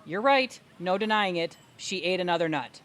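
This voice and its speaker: An actress playing a patient, puzzled older sister in a comedic audio play, questioning with emphatic disbelief. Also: female voice, fairly low pitch, expressive, normal speed, fairly bright tone. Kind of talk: comedic audio theater dialogue.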